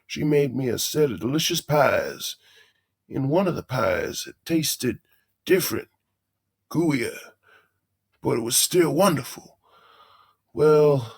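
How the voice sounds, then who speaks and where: A man's voice: medium pitch, expressive, takes it slowly, gravelly, pauses often, breathy edge, exaggerated voice. A man playing a folksy older animatronic beaver, a cheerily macabre father putting on a hammy stage show in an audio drama. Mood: fondly confessional.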